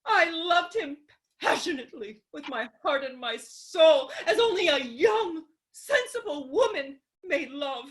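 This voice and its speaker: A woman's voice: high-pitched, expressive, unhurried. A woman playing an indignant, haughty young lady with a prim, theatrical, emphatic delivery in a stage comedy reading. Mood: wistful, dramatic.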